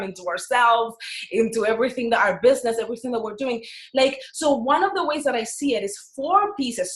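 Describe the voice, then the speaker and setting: A woman with a Dominican Spanish accent giving an excited, informal motivational talk to camera as a business coach. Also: on the high side. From Dominican Republic. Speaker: business coach and course creator. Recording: echoey, roomy sound.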